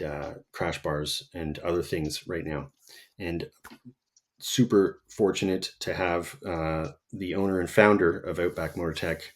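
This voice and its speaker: A man hosting a podcast in a laid-back, upbeat way, introducing and chatting with his guest. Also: low-pitched. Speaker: podcast host. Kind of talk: casual podcast interview.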